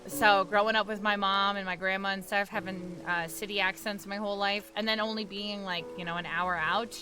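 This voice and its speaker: A woman's voice: medium pitch, steady tone, a little fast, music in the background. A young woman with a New York accent, chatting casually with her live-stream audience while gaming, talkative and laughing often.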